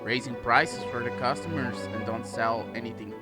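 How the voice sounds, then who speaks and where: A man's voice: medium pitch, moderate pace. A man giving casual, reactive commentary as he plays a game, echoing and restating what he hears. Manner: reactive, echoes and restates the dialogue. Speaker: gaming streamer playing through a story quest. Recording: loud game music under voice.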